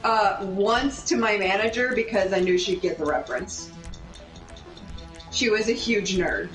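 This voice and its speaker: A young woman chats casually while playing a video game, swearing freely and wandering off track. Her pitch is mid-range. The room is echoey, with game music behind her. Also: unhurried, far from the mic. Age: young adult. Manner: rambling, sweary, easily sidetracked. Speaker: storyteller and gamer. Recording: roomy echo with music behind.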